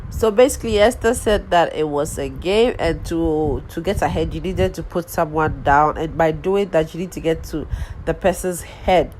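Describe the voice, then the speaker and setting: A woman's voice: low-pitched, a little hiss or hum, rough recording. A woman with a cold, sounding nasal, chatting casually through a rambling recap full of ums and restarts. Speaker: vlogger recapping a reality show.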